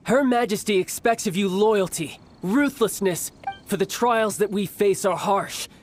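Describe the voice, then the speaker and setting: Polished young commander, music behind. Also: male voice, sits high, a little slow. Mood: stern, commanding. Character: young male military commander, doting older brother, smooth and theatrical. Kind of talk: video game voice acting.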